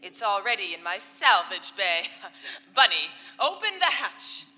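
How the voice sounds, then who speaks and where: A woman's voice: mid-range voice, sing-song, unhurried, sharp tone, faint music behind, full character voice, low-quality recording. A woman playing a scheming, haughty space villain in a theatrical sci-fi radio comedy. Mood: smug, gloating. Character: scheming, gloating, exasperated female space villain.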